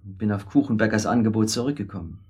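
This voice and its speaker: Husky, low-voiced weak husband. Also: male voice, fast talker, a little mellow. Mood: defensive, confessing. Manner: hesitant, rambling, self-justifying. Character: weak, confessing middle-aged husband, accomplice to murder. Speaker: radio drama actor. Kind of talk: naturalistic German radio drama acting.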